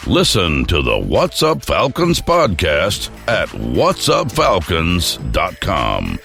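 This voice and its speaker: A man's voice: deep voice, huge pitch swings, slow pace, vocal fry, over music. A man reading a scripted promo as an announcer.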